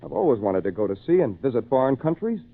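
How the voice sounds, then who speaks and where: Eager young adventurer, tinny old radio. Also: male voice, medium pitch, big pitch swings, quick pace, gravelly. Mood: earnest, determined. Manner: earnest, theatrical. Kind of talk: old-time radio drama acting and narration.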